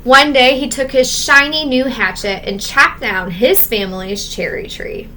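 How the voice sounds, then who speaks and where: A woman reading a children's storybook aloud as a teacher, expressive and measured, stressing key words and adding asides. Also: high-pitched, a little hiss or hum, rough recording.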